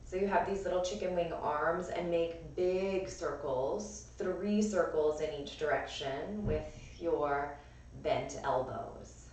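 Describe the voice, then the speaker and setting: A woman with a raspy, strained voice gently cueing breath and movement as a yoga and pilates instructor. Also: deep voice, slow pace, a little hiss or hum. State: strained voice from overuse. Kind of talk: guided class instruction. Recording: echoey room, voice from far off.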